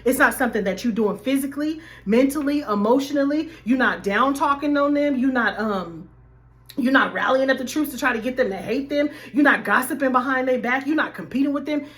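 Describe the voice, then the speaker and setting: Hoarse, animated woman life coach. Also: high-pitched, a little fast. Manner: animated, addresses viewers as y'all. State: slightly hoarse. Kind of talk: casual faith-based vlog talk.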